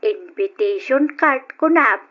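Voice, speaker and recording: A woman playing a friendly, teasing older village mother in an old radio drama, with a bright voice. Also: a little high, animated, slow pace, highs cut off.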